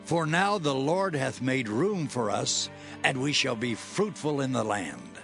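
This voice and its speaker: Man acting creaky, earnest Hebrew patriarch. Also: medium pitch, lively intonation, measured pace, a bit bright, soft background music. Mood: grateful. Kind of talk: dramatized scripture reading.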